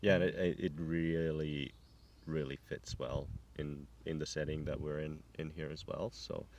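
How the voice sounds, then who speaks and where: A man's voice: a bass voice, level tone, normal speed, darkish tone, dull audio. A man from Finland, a sauna business owner talking casually in an interview, often repeating words as he searches for them. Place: outdoors on a farm. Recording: distant mic, faint outdoor noise.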